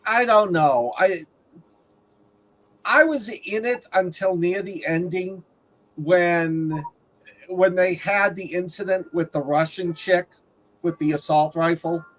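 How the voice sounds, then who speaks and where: A woman's voice: on the low side, takes it slowly, long pauses, low-quality recording. A woman talking casually and conversationally as a panelist on a review show.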